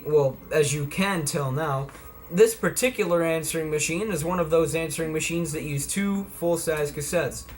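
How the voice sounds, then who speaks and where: Higher-voiced man, folksy, noisy recording. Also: moderate pace. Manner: folksy, playful, exclamatory. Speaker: vlogger presenting vintage electronics. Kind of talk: casual product demonstration talk.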